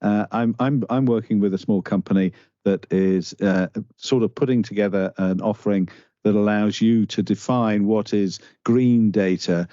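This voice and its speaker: Halting Northern Irish man, low voice. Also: fairly even intonation, dark tone, no room sound. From Northern Ireland. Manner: halting, restarts often, earnest. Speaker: guest. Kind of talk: conversational interview. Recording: dull lo-fi dry sound.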